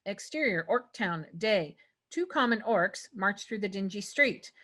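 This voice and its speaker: A woman's voice: mid-range voice, animated, a little slow, bright, forceful tone. A woman reading stage directions as the narrator at a script table read.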